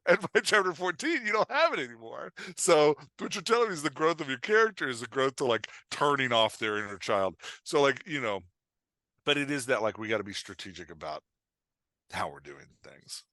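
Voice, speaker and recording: A man with a bright, forceful voice, recorded close and dry. He talks like an animated podcast guest explaining the craft of writing, emphatic and joking, slipping into imagined little exchanges. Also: mid-range voice, a little fast, no echo. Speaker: guest expert on writing fiction dialogue. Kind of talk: animated casual podcast chat.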